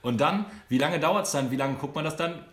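A man hosting a casual chat, joking and animated, using slang and laughing often. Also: fairly high pitch, moderate pace. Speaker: podcast host. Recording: roomy echo.

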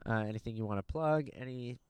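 A young man hosting a casual podcast, relaxed and profane, calling everyone dude. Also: medium pitch, fast talker, slight background noise. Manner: laid-back, swears a lot, says dude constantly. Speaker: podcast host and stand-up comic. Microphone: handheld microphone.

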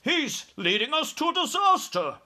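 A man's voice: high-pitched, expressive, measured pace, bright, forceful tone, pitched above the performer's own voice, exaggerated voice. A man voicing a blustering, panicky air force chief in a storybook, exasperated and spluttering. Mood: frantic.

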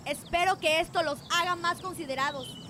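A woman's voice: extremely high pitch, a little fast, noisy background. A woman acting a haughty, imperious Mexican landowner who looks down on her workers, in an amateur radio drama. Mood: scornful, angry. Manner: imperious, scolding. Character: haughty, harsh female landowner boss, contemptuous of workers.

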